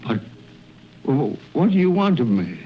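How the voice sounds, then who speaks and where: An actor playing a frightened old miser, halting and pleading, in a muffled 1930s radio drama. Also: male voice, medium pitch, very animated, faint music behind. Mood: terrified.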